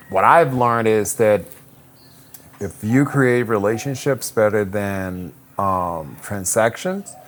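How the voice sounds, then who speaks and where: A man, a real estate broker answering interview questions in a relaxed, reflective way with many restarts. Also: medium pitch, slight background noise. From Puerto Rico. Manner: easygoing, reflective, frequent restarts and "um".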